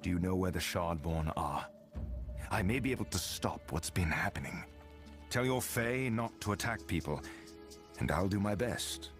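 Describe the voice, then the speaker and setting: An actor playing an earnest, resolute adventurer in a fantasy game, grave and direct. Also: male voice, low-pitched, lively intonation, normal speed, music in the background. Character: earnest, resolute male adventurer, guardian of balance.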